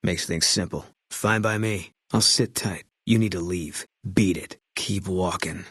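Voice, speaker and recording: An actor playing a weary ex-sniper soldier in a video game, speaking in clipped, flat lines with a husky voice. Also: male voice, deep voice, a little slow, no room sound, studio quality, full character voice. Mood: terse. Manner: clipped, flat, few words. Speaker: game companion character. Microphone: dry studio mic.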